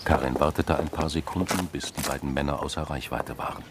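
A man's voice: very deep voice, fairly even intonation, a little fast, noisy background. A man reading scripted narration in a crime radio play.

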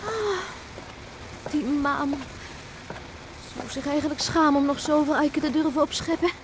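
A woman's voice: sits high, unhurried. A woman playing a sensible, disapproving young country woman in a radio serial, plain-spoken and sober in manner. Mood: brooding. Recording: noisy old radio play recording.

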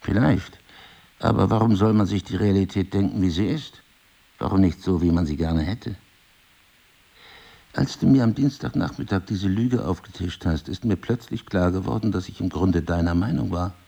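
An actor playing a married crime novelist in an intimate drama, husky and articulate, probing, cynical and wounded, with deliberate literary phrasing. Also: male voice, deep voice, dark tone. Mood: reflective, confiding. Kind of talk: intimate two-person stage drama dialogue.

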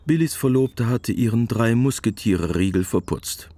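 A man giving scripted literary narration for a radio play in a measured, matter-of-fact manner. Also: medium pitch, expressive, vocal fry, dark tone, slight background noise.

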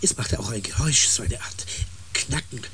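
A man's voice: medium pitch, animated, normal speed, a bit bright, whispered, close to an everyday voice. A man acting a frightened, unsure planetary surveyor in a radio play, stammering and repeating words as he speaks. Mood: shaken, halting.

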